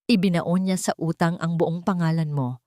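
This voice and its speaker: A woman playing a formal male family lawyer who speaks quietly but emphatically, holding back anger. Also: on the low side, moderate pace, no echo, studio quality, lightly acted. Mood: restrained anger.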